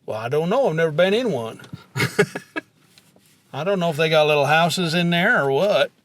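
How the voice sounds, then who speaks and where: A man's voice: on the high side, a little slow, slight background noise. A man playing a laconic older country fella on a comedy podcast, simple and matter-of-fact. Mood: deadpan. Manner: folksy, deadpan. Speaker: podcast co-host. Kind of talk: comedic podcast banter in character.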